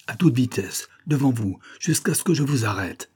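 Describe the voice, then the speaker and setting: A man voicing an impetuous male detective who barks orders, his voice raised and pitched up in an audiobook reading. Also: moderate pace, breathy edge. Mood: urgent, commanding. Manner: commanding, shouted orders. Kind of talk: audiobook character voice.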